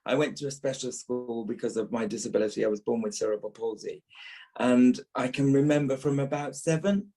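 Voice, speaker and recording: Middle-aged man from England, medium pitch. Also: fairly quick, warm tone, soft background music. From Birmingham, England. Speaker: actor, interview guest. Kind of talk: interview reminiscence.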